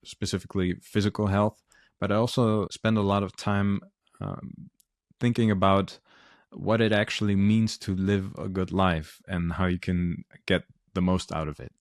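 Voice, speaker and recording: A man's voice: sits low, no room sound, studio quality. A man with a Dutch accent hosting his own podcast, talking earnestly and steadily with frequent ums and uhs. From Netherlands. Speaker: podcast host, physician. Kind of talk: solo podcast monologue.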